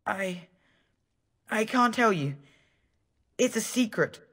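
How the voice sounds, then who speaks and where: A woman's voice: medium pitch, animated, slow pace, fairly bright tone. A young boy from a fantasy game village. He is frightened but trying to be brave. He speaks hesitantly, with earnest politeness. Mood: timid, wary. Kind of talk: video game character dialogue.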